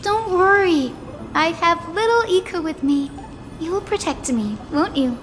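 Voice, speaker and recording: A woman voicing a gentle, idealistic young healer in a video game, speaking softly and earnestly like a storyteller. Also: extremely high pitch, lively intonation, unhurried. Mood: cheerful. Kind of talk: dramatic video game dialogue and narration. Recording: echoey reverb with music behind.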